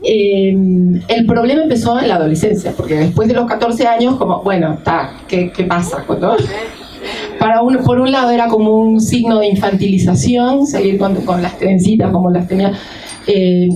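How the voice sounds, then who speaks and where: A woman in her fifties from Uruguay tells a reflective personal story in a panel discussion, pausing often as she thinks aloud. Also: mid-range voice. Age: 50s. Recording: distant mic with clear noise.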